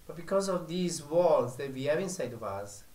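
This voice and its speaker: A male spiritual teacher preaching in a slow, deliberate way, leaving long pauses between phrases. His voice sits a little high for a man. The room around him rings with echo. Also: lively intonation, darkish tone.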